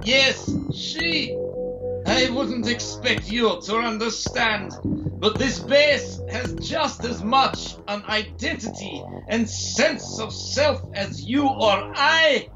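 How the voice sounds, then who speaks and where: An actor playing a sinister, taunting villain doctor in an audio drama, cunning and grandiose, with theatrical delivery. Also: male voice, very high-pitched, highs cut off. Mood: smugly explaining. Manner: theatrical, slow, mocking. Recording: loud music under the voice.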